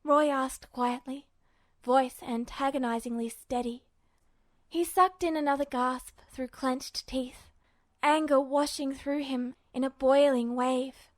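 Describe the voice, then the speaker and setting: A woman's voice: high voice, fairly even intonation, slow pace, dry recording. A woman narrating fiction in a dramatic, emotive style, like an audiobook reader. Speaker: podfic reader.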